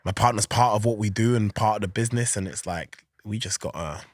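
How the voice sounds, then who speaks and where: Guest: man, animated, loose and chatty, close dry mic. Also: lowish voice, fairly quick, no echo. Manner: animated, chatty, laughs often, many fillers. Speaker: podcast guest, organisation founder. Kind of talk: casual interview chat.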